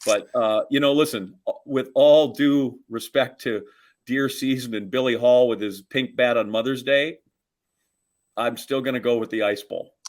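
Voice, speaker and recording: A man's voice: medium pitch, normal speed. Rambling man co-hosting casual sports chat. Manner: rambling, digressive storytelling. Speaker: co-host, sports broadcaster.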